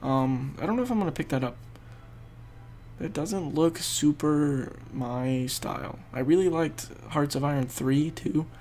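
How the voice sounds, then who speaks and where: Congested, gravelly man narrating gameplay. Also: fairly high pitch, measured pace, darkish tone. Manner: rambling, thinking aloud while playing. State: congested, losing his voice. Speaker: gaming video host. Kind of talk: casual gameplay commentary.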